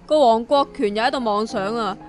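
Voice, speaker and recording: A woman's voice: on the high side, a little fast, faint music behind, natural voice. A woman playing a blunt, exasperated young woman with a dismissive manner in a comic radio drama.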